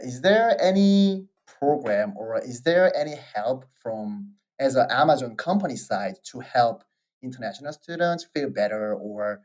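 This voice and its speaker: Korean accented interviewer, mid pitch, talks in long winding sentences, kinda soft. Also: rough recording. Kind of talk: casual interview conversation.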